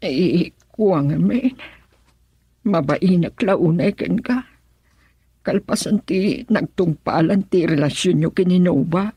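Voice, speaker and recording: A woman's voice: lowish voice, slow pace, warm tone, husky, dry recording, full character voice. A woman playing a grateful, easily embarrassed elderly village mother in an Ilocano radio drama, speaking hesitantly. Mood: apologetic, grateful.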